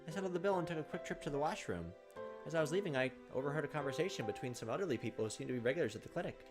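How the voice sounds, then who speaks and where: A man's voice: fairly high pitch, lively intonation, racing. A man hosting a let's play, reading visual novel narration aloud with casual commentary. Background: game music.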